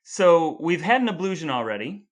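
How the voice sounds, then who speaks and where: A man's voice: on the high side, sing-song, moderate pace. A man co-hosting a casual podcast chat with his brother, playful and fond of wordplay. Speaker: podcast co-host.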